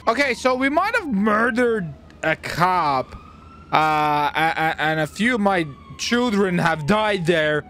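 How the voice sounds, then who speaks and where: A man doing excited, theatrical gaming roleplay, playfully repeating phrases in quick bursts. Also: high-pitched, faint music behind. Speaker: streamer playing a clone gang leader. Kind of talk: excited game roleplay commentary.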